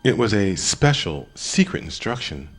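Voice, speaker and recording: A man narrating an audiobook in a dramatic scripted style, expressive, with deliberate pauses. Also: on the low side, soft background music.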